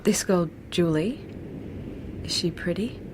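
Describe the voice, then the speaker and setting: A woman's voice: fairly low pitch, slow, background noise. An actress playing a frank, feisty, wounded young woman in love in a naturalistic radio drama, blunt and teasing in manner. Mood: pleading.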